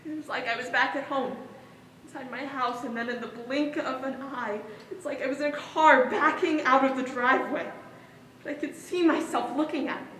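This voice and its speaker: A woman's voice: sits high, normal speed, fairly bright tone. A woman acting a traumatised daughter who recounts a hostage ordeal in a projected, dramatic stage monologue. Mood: haunted, dazed. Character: traumatised daughter recounting being held hostage with her father. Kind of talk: one-act stage play monologue. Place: indoor stage in a large reverberant hall. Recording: distant echoey hall, some background noise.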